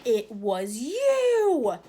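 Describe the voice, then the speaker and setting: A woman's voice: a little high, wildly swooping pitch, drawn-out words, warm tone, slightly rough, heavily acted. A woman voicing a twelve-year-old boy wizard, speaking plainly and sharply.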